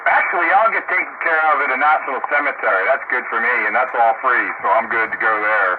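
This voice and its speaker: A man's voice: mid-range voice, fast talker. An older man chatting casually over the radio in a blunt, folksy way. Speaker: amateur radio enthusiast on a round-table net. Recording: tinny narrowband radio link, loud static.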